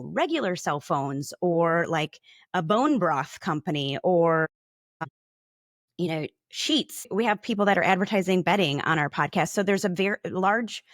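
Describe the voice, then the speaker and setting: A woman's voice: lowish voice, expressive, moderate pace, no room sound, clean studio sound. A woman speaking as an interview guest, explaining her industry in a conversational way. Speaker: podcast guest, advertising account manager.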